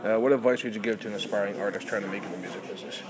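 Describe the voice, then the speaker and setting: Brisk, quick-talking interviewer at a crowded event. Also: low voice. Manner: brisk, reads prepared questions. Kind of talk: interview questions. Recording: crowd noise behind. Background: crowd chatter.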